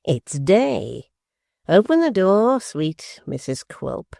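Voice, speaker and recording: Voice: medium pitch, big pitch swings, vocal fry, dry recording, clean studio sound, full character voice. A reader voicing Quilp, a malicious, cunning male dwarf who drawls with false affection. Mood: mocking. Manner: slow, mocking, falsely affectionate.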